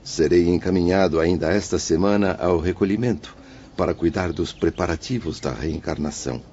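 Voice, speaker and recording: A man's voice: low voice, normal speed, darkish tone, a little hiss or hum. A man playing a remorseful, solemn male spirit awaiting rebirth, speaking formally in an audiobook drama. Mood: resolved, cordial. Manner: grave, formal, declamatory. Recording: dull lo-fi audio with music behind.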